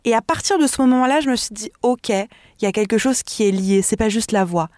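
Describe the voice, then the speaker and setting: A woman's voice: fairly high pitch, fairly quick, darkish tone, dry recording, studio quality. A woman, a singer and vocal mentor, talking expressively as a radio interview guest, often laughing and restarting her sentences.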